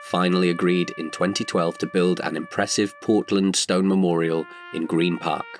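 A man's voice: low voice, steady tone, normal speed, lightly acted. A man delivering scripted, documentary-like narration in an audio drama.

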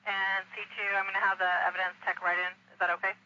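American male police dispatcher, high-pitched, monotone, clipped procedural delivery on muffled scanner radio. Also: quick pace, a little hiss or hum.

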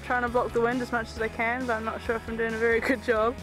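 A woman's voice: a little high, level tone, fast talker, music in the background, low-quality recording. A young adult woman chatting casually as she films a travel vlog on a handheld camera outdoors. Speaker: travel vlogger. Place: outdoors, windy open countryside and village street. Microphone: handheld camera at arm's length.

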